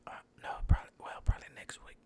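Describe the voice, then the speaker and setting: Tired man whispering gameplay chatter. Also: very fast. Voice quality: soft whisper, mostly unvoiced. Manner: rambling, laid-back, trash-talks the game. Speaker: gaming channel host.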